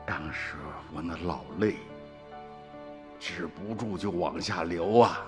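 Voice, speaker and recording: A man's voice: low voice, slow, a little mellow. A man playing an old peasant grandfather in a radio drama, earthy and colloquial, emotional in his storytelling. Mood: moved. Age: older. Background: music.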